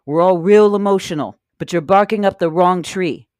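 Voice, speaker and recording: A woman's voice: fairly low pitch, moderate pace, a little mellow, no echo, natural voice. A woman playing a tough, loyal robber in an audio drama, blunt and insistent in the way she talks. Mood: arguing.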